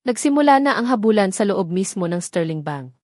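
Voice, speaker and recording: A woman's voice: mid-range voice, very animated, a little fast, darkish tone, no echo, clean studio sound. A woman with a Filipino accent reading a scripted dramatic story as a storyteller.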